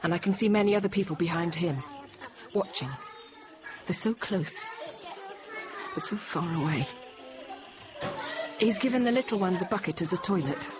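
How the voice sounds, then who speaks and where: An actress in her thirties playing a frightened but composed French teacher held hostage, narrating quietly, often near a whisper. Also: on the low side, normal speed, long pauses, a crowd in the background, close to an everyday voice. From France. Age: 30s. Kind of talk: first-person dramatic narration. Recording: dull noisy radio drama recording.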